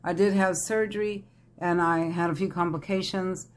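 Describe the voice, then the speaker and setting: A raspy-voiced woman, chatting casually to viewers as a tarot reader, congested from a head cold. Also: on the low side, fairly even intonation, normal speed. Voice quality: raspy, crackly voice. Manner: chatty, addresses viewers as y'all. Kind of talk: casual psychic reading vlog.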